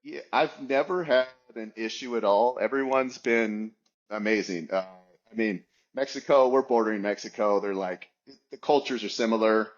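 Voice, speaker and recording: A man's voice: fairly low pitch, moderate pace, lo-fi sound. A man in his forties from the United States, a guest talking casually in an interview, rambling with many fillers and restarts. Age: 40s.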